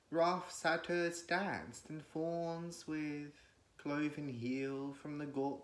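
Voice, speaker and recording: Slow, echoey man reciting verse. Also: fairly high pitch. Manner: solemn, declamatory, measured. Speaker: poetry reader. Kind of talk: dramatic recitation of classical verse. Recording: echoey room.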